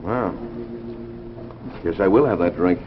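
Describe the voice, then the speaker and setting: A man's voice: deep voice, takes it slowly. An actor playing a folksy, skeptical man hearing out a strange tale in a 1950s radio drama. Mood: skeptical, curious. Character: skeptical, folksy man listening over a drink. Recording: muffled vintage radio recording, faint noise.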